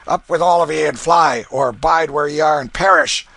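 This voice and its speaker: A man voicing a rough, harsh father who gives orders in old-fashioned speech. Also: mid-range voice, a bit bright, no room sound, voice pushed up. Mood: gruff. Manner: rough, commanding, slow. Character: gruff, harsh Tudor father on the run.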